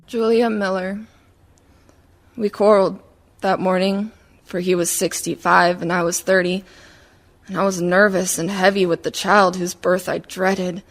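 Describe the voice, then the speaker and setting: A woman's voice: lowish voice, steady tone, a little slow. A woman playing a troubled, despairing wife of thirty in a sombre, literary radio monologue. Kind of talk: theatrical monologue for community radio play.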